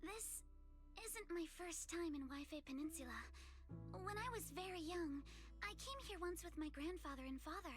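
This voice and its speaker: A woman's voice: very high-pitched, music in the background. A woman playing a polite, wistful young game character who speaks formally and carries guilt. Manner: formal, gentle, measured. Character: polite, wistful young woman carrying guilt over her lost father. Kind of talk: dramatic game voice acting.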